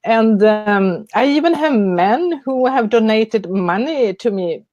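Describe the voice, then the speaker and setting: A woman's voice: a little high, expressive, slow pace, a little mellow, dry recording, lo-fi sound. A woman with a Swedish accent, a guest author on a webinar over a video call, speaking carefully with hesitations and light laughs. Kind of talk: casual webinar chat.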